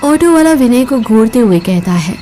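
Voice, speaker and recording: A woman reading scripted narration for an animated horror story as its storyteller. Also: on the high side, normal speed. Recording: clear music bed behind the voice.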